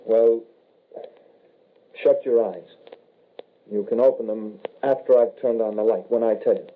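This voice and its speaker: An actor playing a grave, regretful young soldier turned werewolf in a halting, somber 1940s radio horror style. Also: male voice, lowish voice, fairly even intonation, lightly acted. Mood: grave, guarded. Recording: noisy, tinny old-time radio recording.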